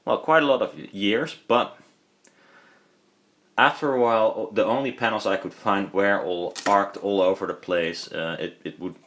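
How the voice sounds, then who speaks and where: A man with a low-pitched voice talks through a hands-on demonstration. He is casual and unscripted, wandering from point to point and hesitating as he works out his thoughts aloud. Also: fairly even intonation, normal speed, a bit bright. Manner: rambling, hesitant, thinking aloud. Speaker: hobbyist speaker builder and video maker.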